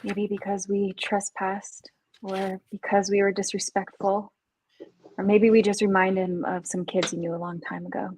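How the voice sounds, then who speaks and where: Woman acting young horror survivor, monotone, quietly certain, dazed, faint background noise. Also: medium pitch, moderate pace, lightly acted, rough recording. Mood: quiet certainty. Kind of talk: amateur screenplay table read.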